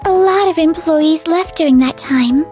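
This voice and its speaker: A woman playing an earnest, anxious young factory manager in a manga dub, polite and expressive. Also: extremely high pitch, normal speed, faint music behind. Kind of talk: scripted manga dub acting.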